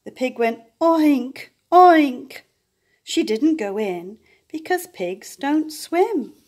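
An older woman reading a children's picture book aloud, with expressive emphasis and playful animal sounds. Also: high voice, very slow. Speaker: storyteller. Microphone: camera above the book on a table.

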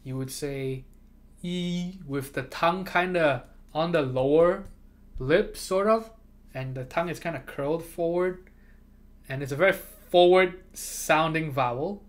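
A man's voice: on the high side, unhurried. A man who grew up speaking Chinese, hosting a livestream and chatting casually, often repeating himself and laughing. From moved to America from abroad. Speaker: livestream host. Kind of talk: casual chat with chat readers.

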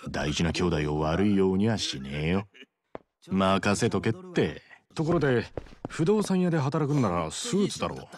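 A male actor voicing a cocky, teasing, loyal young yakuza in a Japanese video game, talking in rough slang. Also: low-pitched, slight background noise. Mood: casual, teasing. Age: young adult.

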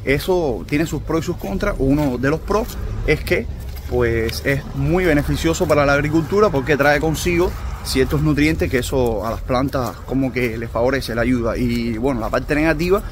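A man's voice: medium pitch, normal speed, a little hiss or hum. A man from Cuba with a Cuban accent, chatting casually to his viewers in a vlog, calling them family. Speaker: vlogger.

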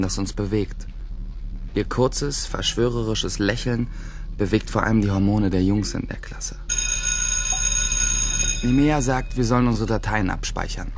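A man's voice: mid-range voice, gravelly. An actor playing a cocky, computer-savvy teenage schoolboy who narrates his day in a radio drama. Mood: wry.

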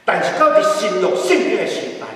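An older man, a pastor, preaching a sermon in a Taiwanese Hokkien accent from a church pulpit, animated and gesturing, mixing in English terms. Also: sits high, a little fast. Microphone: pulpit mic, camera from a distance. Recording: echoey church hall, heard from far off.

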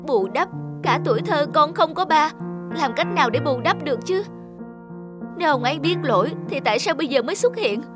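An actress playing a headstrong, bitterly resentful young adult daughter in a cartoon drama, speaking emphatically and reproachfully. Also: female voice, high-pitched, lively intonation, a little fast. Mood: angry, hurt. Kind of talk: animated drama dubbing. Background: music.

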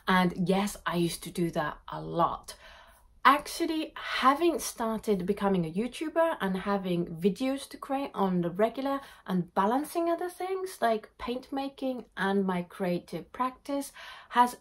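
A woman in her thirties, born in Japan, answering viewer questions casually to camera in her home art studio, reading each question aloud before a candid reply. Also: medium pitch. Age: 30s. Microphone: camera in front at desk distance.

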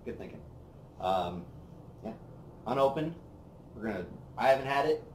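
A young man hosting a whiskey review, chatting casually on an outdoor patio, relaxed and rambling with plenty of fillers. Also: mid-range voice, normal speed, a little hiss or hum. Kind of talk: casual tasting chat. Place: outdoor patio by a brick wall. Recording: roomy outdoor sound with faint ambience.

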